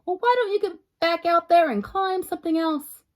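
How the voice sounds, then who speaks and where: A woman's voice: very high voice, normal speed, voice pushed up. A woman voicing an upbeat female ladybug in a children's storybook, coaxing and cheering on her friend.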